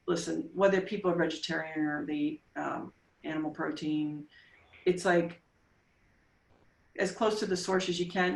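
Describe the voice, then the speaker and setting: A woman in her forties, a podcast guest, talking casually with emphatic, coaching punch and quick rhetorical questions. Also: low voice, creaky, recorded from a distance. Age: 40s. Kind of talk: casual interview talk. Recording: roomy sound, voice off-mic.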